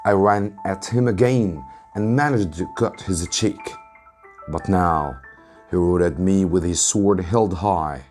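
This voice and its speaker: Slow, low-voiced man reading a story. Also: a little mellow, soft background music. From Egypt. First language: Arabic. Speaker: English teacher reading aloud. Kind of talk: narrated storybook reading for students.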